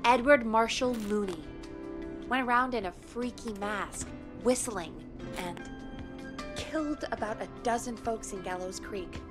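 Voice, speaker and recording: Actress as high-voiced radio producer. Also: female voice, measured pace, natural voice. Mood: grave storytelling. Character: small-town radio show producer, wry and matter-of-fact. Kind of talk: video game voice acting. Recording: music playing behind the voice.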